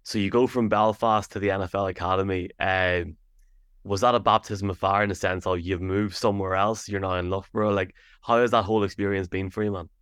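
A man hosting a podcast interview, chatty and quick, full of restarts. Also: deep voice, steady tone, a bit bright, clear, resonant tone, no echo, studio quality. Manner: rapid, chatty, lots of restarts. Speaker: podcast host. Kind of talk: casual interview chat.

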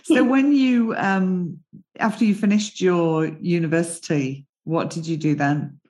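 A woman's voice: lowish voice, fairly even intonation, unhurried, a little mellow. A woman hosting a podcast interview, introducing her guest and asking encouraging questions with frequent affirming yeahs. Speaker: podcast host.

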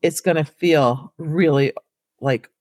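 Hoarse raspy woman hosting a podcast. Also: sits low, slow, dry recording, studio quality. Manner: warm encouraging tone, addresses listeners as friends. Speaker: podcast host and school counselor. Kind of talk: casual solo podcast talk.

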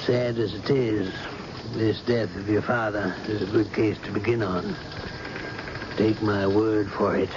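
Man acting a fatherly policeman, low voice, obliging, muffled and noisy old radio recording. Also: fairly even intonation, measured pace, close to an everyday voice. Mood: kindly, assured. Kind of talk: vintage radio drama acting.

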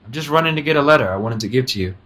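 An actor playing an honest, earnest young servant with proud dreams in an audio drama. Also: male voice, mid-range voice, lively intonation, rapid-fire, a little hiss or hum. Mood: hurried, friendly. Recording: loud music under the voice.

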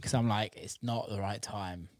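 A man's voice: on the low side, steady tone, moderate pace, dry recording, studio quality. A man chatting casually as a music producer, informal and sweary, peppering his talk with "like". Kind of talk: casual chat.

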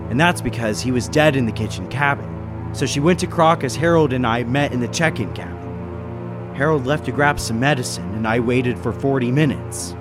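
A man playing an anxious businessman who narrates a murder mystery in deliberate, dramatic 1930s radio suspense style. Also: mid-range voice, close to an everyday voice. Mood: pondering.

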